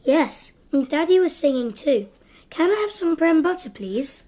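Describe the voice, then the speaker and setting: A woman's voice: high voice, sing-song, normal speed, warm tone. A female performer playing a small, innocent child in a radio drama, simple and artless.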